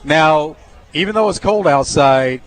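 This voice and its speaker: A man's voice: on the high side, expressive, a little slow. A man co-hosting live sports radio from a restaurant bar, conversational and a little hesitant.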